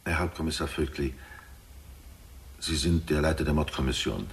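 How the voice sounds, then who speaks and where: A man's voice: a bass voice, fairly even intonation, moderate pace. A man in a German radio drama playing a troubled middle-aged father of a criminal, speaking in a grave, probing, reproachful way. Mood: grave confession.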